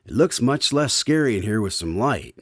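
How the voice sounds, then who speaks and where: A radio drama presenter, polite and a bit nervous. His delivery swings widely in pitch, in an announcer's manner. The sound is close and dry. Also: very animated, moderate pace, no echo. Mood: nervous, curious. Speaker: announcer and host. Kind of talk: old-time radio show presenting.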